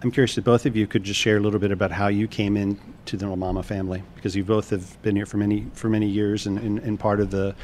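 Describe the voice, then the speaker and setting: A man interviewing two guests, asking quick, stumbling questions full of restarts. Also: lowish voice, flat delivery, darkish tone, slight background noise.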